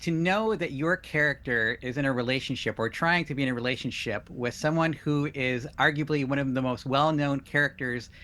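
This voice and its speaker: A man's voice: medium pitch, brisk, hardly any pauses, sharp tone. A man asking an interview question, enthusiastic and emphatic. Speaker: entertainment journalist. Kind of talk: press junket question.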